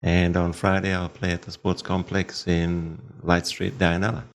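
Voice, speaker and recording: Low-voiced older man from Malaysia. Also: monotone, a little hiss or hum. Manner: plain, unhurried, repeats words when starting a thought. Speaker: guest. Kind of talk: relaxed storytelling in conversation.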